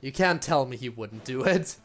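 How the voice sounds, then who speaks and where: A man streaming a game in a medium-pitched voice, with faint music behind him. He comments casually, musing aloud and reacting to what happens. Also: expressive, normal speed, fairly bright tone.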